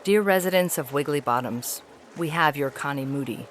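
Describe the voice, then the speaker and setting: A woman's voice: deep voice, close to an everyday voice. Woman as formal, weary alien ambassador over noise. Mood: earnest wonder. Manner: formal, measured, articulate. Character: haughty, weary feline alien ambassador, disillusioned with humans. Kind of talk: dramatic reading of an epistolary science fiction story.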